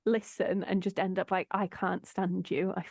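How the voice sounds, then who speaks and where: A woman's voice: lowish voice, level tone, normal speed, no room sound, studio quality. A woman hosting a casual, informal chat, giggly and free with her swearing. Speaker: host of an audio drama behind-the-scenes chat.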